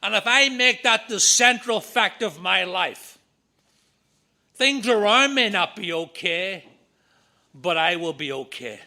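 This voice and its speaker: A middle-aged man with a Northern Irish accent, giving an earnest talk at a recovery meeting with quick asides. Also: sits high, expressive, creaky, sharp tone. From Northern Ireland. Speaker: AA speaker. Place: meeting room.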